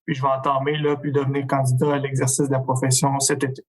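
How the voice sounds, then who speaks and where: A young man from Quebec with a Québécois accent, calmly recounting his path as a podcast guest. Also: medium pitch, fast talker, a crowd in the background. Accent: Québécois French. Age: young adult. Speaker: accounting student guest. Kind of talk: casual interview answers.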